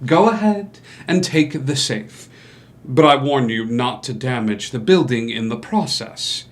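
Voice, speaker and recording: A man's voice: mid-range voice, animated, a little slow, close to an everyday voice. Man acting a haughty, evasive swindling doctor. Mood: smooth, smug. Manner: haughty, formal, coolly dismissive. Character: smug, evasive doctor swindler stonewalling creditors. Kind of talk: stage musical table read.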